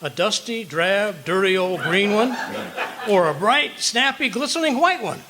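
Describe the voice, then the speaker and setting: A man's voice: high voice, expressive, measured pace, sharp tone, exaggerated voice. A man playing a blustery, stubborn, penny-pinching husband in an old-time radio comedy, with indignant, folksy exaggeration. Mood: eager, scheming. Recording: live stage performance with background noise.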